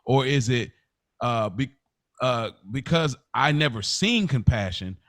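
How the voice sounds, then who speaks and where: An American man in a casual podcast discussion, talking deliberately, rephrasing his points and probing like a co-host. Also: medium pitch, animated, fairly bright tone, no room sound, clean studio sound.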